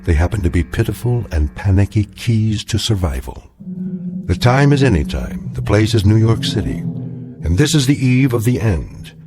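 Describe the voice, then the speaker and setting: An actor as the grave narrator of an eerie radio drama, measured and solemn in his delivery. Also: lowish voice, expressive, loud music behind the voice, lightly acted. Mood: ominous, solemn. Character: grave omniscient narrator of eerie doomsday tales.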